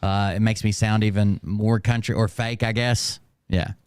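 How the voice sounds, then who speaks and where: A man with a nasal voice, hosting a livestream and making dry, teasing comments. His voice comes through smooth and clean, recorded close in a dead, dry space. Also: on the low side, level tone, moderate pace, darkish tone, no room sound. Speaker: livestream host. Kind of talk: casual reaction commentary.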